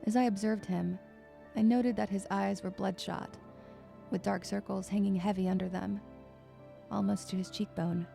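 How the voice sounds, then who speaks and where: A woman's voice: medium pitch, fairly even intonation, moderate pace, creaky, warm tone, faint music behind. A woman playing an anxious, withdrawn young woman who narrates her own story in a dramatic, introspective and sometimes hesitant way. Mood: tense narration. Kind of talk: first-person dramatic narration with dialogue.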